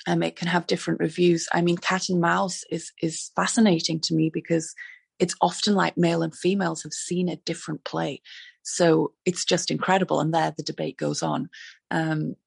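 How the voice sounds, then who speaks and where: A woman playwright with a hoarse, raspy voice, talking earnestly about her plays in an interview over a video call, with many fillers and restarts. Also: fairly low pitch, flat delivery, normal speed, no echo. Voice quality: raspy, gravelly. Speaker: playwright guest.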